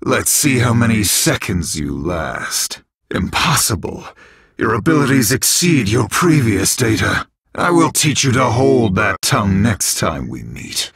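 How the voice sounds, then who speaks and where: A masked jackal villain from a video game, arrogant and sadistic. The voice is rough with breath and very dry, as if right at the ear. Every taunt is drawn out slowly with theatrical, haughty menace. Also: male voice, fairly low pitch, big pitch swings, fairly bright tone, no room sound, full character voice. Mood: contemptuous, threatening.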